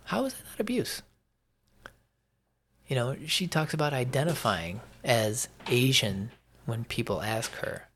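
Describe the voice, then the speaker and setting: An American man giving an unscripted opinion monologue as a podcast host, speaking emphatically and haltingly, often repeating phrases for effect. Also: mid-range voice, expressive, fairly bright tone, a little hiss or hum.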